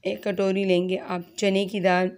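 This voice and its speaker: A woman with a hoarse, sore throat, chatting straight to viewers as she hosts a casual home cooking tutorial, filmed on a phone in her kitchen. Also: mid-range voice, flat delivery, measured pace, a little mellow. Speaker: cooking channel host.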